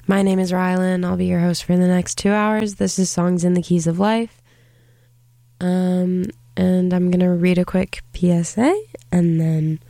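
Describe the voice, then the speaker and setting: Congested woman radio host, gravelly. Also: lowish voice, dark tone, dry recording, clean studio sound. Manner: halting, many fillers. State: congested from a cold. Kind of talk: casual college radio talk. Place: radio studio. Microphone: studio microphone.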